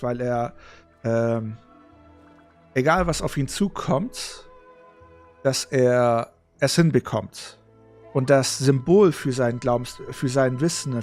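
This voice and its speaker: A man's voice: mid-range voice, a little mellow. A man giving casual, reflective commentary as a game streamer. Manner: reflective, explaining. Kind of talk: casual let's play commentary.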